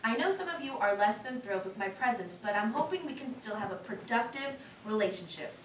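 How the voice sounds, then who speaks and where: A woman's voice: medium pitch, animated, brisk, natural voice. A woman playing an earnest, polite but firm new park manager in a staged cartoon reading. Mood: earnest, nervous. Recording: echoey room, distant mic, faint noise.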